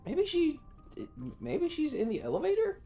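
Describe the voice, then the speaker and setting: A man's voice: very high-pitched, huge pitch swings, moderate pace, dark tone. A man live streaming a game, chatting casually in a rambling, sweary way. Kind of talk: casual gaming stream chat. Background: game music.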